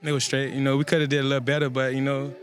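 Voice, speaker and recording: Young man, football player, flat delivery, quick talk, laid-back and slangy. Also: medium pitch, faint music behind. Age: young adult. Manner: laid-back, slangy, lots of you know. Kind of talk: casual interview.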